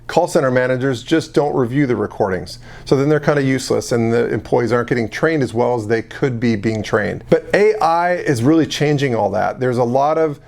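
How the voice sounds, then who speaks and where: A man's voice: medium pitch, a little fast, creaky, few pauses, a little mellow. A man presenting an informal explainer as a consultant, talking straight to the viewer in a conversational, salesy way. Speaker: call center software consultant and video presenter. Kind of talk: informal explainer talk to camera.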